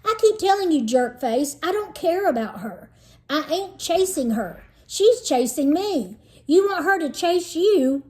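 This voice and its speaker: A woman's voice: extremely high pitch, very animated, a little slow, mellow, voice pushed up, full character voice. A woman reading a storybook as a cocky, pushy fourth-grade boy with slangy, tough talk. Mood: defensive, annoyed.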